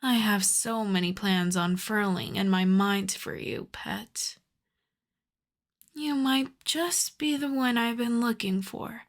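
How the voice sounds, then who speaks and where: Woman playing a slow, seductive vampire countess. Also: mid-range voice. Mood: hungry, possessive. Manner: slow, teasing, half-whispered, commanding endearments. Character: seductive, possessive vampire countess, once human, playfully menacing. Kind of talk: intimate ASMR roleplay, scripted.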